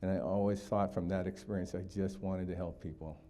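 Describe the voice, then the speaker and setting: A man's voice: low-pitched, fairly even intonation, fairly quick, warm tone. A man in his sixties from Hawaii, giving a community talk for a district attorney's office, explaining steadily with frequent fillers.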